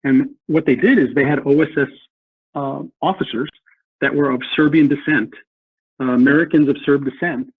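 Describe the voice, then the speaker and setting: Earnest guy on a podcast, husky voice, tinny phone-line sound, dry. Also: fairly high pitch, normal speed, no room sound. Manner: earnest, repeats words while thinking. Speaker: guest. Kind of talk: podcast interview, historical explanation.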